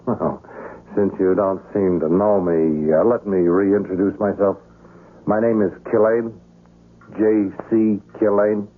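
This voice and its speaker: An actor playing a self-assured assassin's handler in a 1970s radio drama, urbane and measured, with a polite menace. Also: male voice, fairly low pitch, steady tone. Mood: genial, polished. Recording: noisy, narrow-band vintage radio broadcast.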